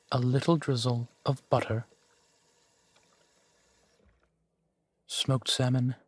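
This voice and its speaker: A man playing a centuries-old vampire duke in an intimate roleplay audio, genteel, amused and doting, talking theatrically and savoring his pauses. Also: mid-range voice, dry recording, close to an everyday voice. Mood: pleased, doting. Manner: unhurried, theatrical, savoring pauses with soft chuckles.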